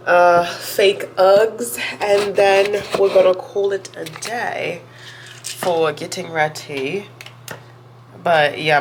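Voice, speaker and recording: A woman's voice: fairly low pitch, a little hiss or hum. Chatty young woman with a stuffy cold. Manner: chatty, rambling, self-interrupting. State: congested, getting over a cold. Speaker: vlogger. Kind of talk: casual get-ready-with-me chat. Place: at home, indoors.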